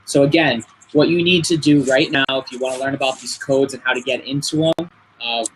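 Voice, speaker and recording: Bright-voiced man explaining casually. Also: medium pitch, a little hiss or hum, rough recording. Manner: relaxed, explanatory, trails off with fillers. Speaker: guest growth hacker. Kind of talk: casual group video call.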